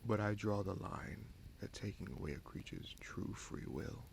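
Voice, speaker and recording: Casting: male actor for a frank, wry bastard prince, fantasy audio roleplay. Lowish, creaky voice, musing delivery with long pauses, slight background noise. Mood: amused, earnest. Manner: measured, musing, pausing often mid-sentence. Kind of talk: fantasy audio roleplay, slow intimate monologue.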